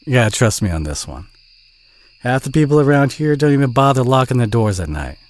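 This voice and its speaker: A man with a Southern US accent playing a kind, protective, bashful country boy in an audio roleplay, speaking in a gentle, folksy, hesitant way with a husky voice. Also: mid-range voice, big pitch swings, a little fast, no echo, close to an everyday voice. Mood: concerned, reassuring. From southern United States. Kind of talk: audio roleplay acting, addressed to the listener.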